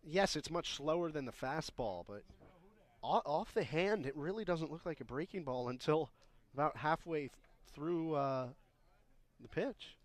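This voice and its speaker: A man's voice: a little high, lively intonation, unhurried. A man giving conversational, analytical baseball commentary for a radio broadcast, explaining the game as a color commentator.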